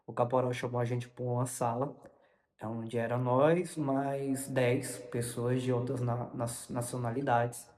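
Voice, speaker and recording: A young Brazilian man recounts an experience in loose, unscripted speech. He stops and starts, repeating and correcting words as he explains. His mid-pitched voice rings with long room reverb. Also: flat delivery, unhurried, darkish tone. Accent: Brazilian Portuguese. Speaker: vlogger recounting personal experience. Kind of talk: informal unscripted storytelling.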